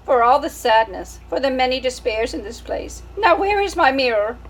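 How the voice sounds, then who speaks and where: A woman's voice: high voice, very animated, moderate pace, voice pushed up, heavily acted, low-quality recording. A woman voicing a vain, dramatic French mother mouse, speaking with a French accent in a sighing, self-pitying way. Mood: theatrical.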